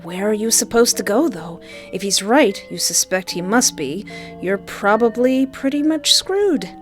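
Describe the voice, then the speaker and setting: A woman's voice: mid-range voice, a little slow, soft background music. Playful woman reading a visual novel. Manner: playful, animated joking asides. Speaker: let's play streamer.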